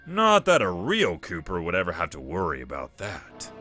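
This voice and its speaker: A man puts on a crotchety old cartoon walrus jailbird. The voice is low and swings widely, gruff, folksy and streetwise, with music playing behind it. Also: huge pitch swings, normal speed, sharp tone, heavily acted. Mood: scornful. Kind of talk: comic dub voice acting.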